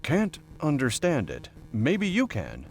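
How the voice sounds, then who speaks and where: A man's voice: fairly high pitch, huge pitch swings, slow, faint music behind, full character voice. A man playing a tired, earnest military radio officer. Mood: weary, insistent. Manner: weary, procedural radio reporting. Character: tired, earnest male Air Force major on radio. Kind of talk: scripted audiobook character dialogue.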